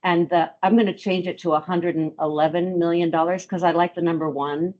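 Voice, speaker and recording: Woman, low voice, hesitant with ums, webinar host, dull lo-fi call sound. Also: fairly even intonation, brisk. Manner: hesitant, many ums and restarts. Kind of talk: webinar presentation. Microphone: video call.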